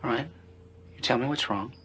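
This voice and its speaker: A direct, no-nonsense film fixer with a bright, very clear tone. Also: male voice, mid-range voice, a little fast. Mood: firm. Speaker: film character giving advice. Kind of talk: film dialogue.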